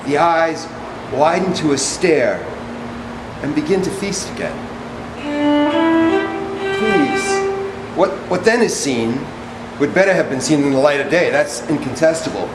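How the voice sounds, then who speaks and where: A man playing a servile, wordy orator in a stage drama, reciting poetic text in a halting, deliberate, declamatory way. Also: on the high side, animated. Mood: pleading, questioning. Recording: echoey room with music behind.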